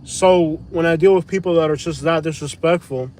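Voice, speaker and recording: A man's voice: a little high, normal speed, darkish tone, slight background noise. A man vlogging from his car at night, giving a blunt, slangy, opinionated rant. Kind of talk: live-stream rant while driving.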